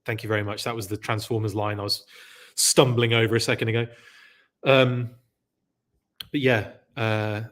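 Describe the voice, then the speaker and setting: A man's voice: on the low side, flat delivery, moderate pace, long pauses, fairly bright tone. A man hosting a live stream, chatting loosely and reading viewer comments aloud, his throat a bit scratchy. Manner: chatty, rambling, self-correcting. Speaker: podcast host.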